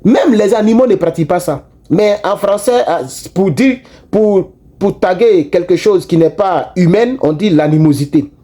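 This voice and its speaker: Male online commentator, talks straight to viewers, lively and repetitive, mellow tone. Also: high voice, moderate pace. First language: not French. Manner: animated, repetitive, frequent restarts and fillers. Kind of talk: casual political commentary to viewers.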